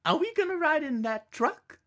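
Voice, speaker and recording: A man's voice: very high-pitched, expressive, moderate pace, a bit bright, pitched above the performer's own voice. A man voicing a small, innocent, wide-eyed boy who asks naive questions in a storybook reading. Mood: curious.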